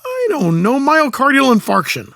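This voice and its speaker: A man's voice: very high-pitched, wildly swooping pitch, normal speed, gravelly, fairly bright tone, no room sound, clean studio sound, full character voice. An actor playing a sardonic, exasperated older man in an audio comedy drama, grumbling with an American accent. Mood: dry, explaining. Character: gruff, exasperated old-school older man teaching a kid.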